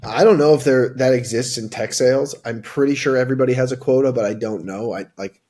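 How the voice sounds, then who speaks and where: A man's voice: mid-range voice, fast, mellow. A young man working in tech sales, answering interview questions casually with lots of fillers, restarts and "like, you know.".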